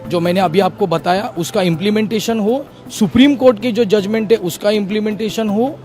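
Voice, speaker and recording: A man's voice: high voice, a little fast, a little mellow, faint music behind. A man, a lawyer, answering a news interviewer, laying out legal points step by step with English legal phrases mixed in. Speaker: lawyer for the petitioners.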